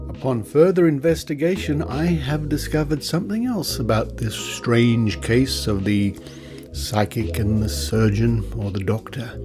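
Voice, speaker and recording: Deliberate Australian male podcast host over music. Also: mid-range voice. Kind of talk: scripted podcast narration with asides. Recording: music behind the voice.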